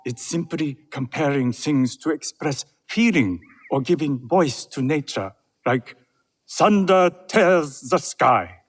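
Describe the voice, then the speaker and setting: An actor playing a serene older Japanese tea master in a game cutscene, with gentle, deliberate, measured phrasing. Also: male voice, fairly high pitch, lively intonation. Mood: patient, instructive. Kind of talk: video game cutscene acting.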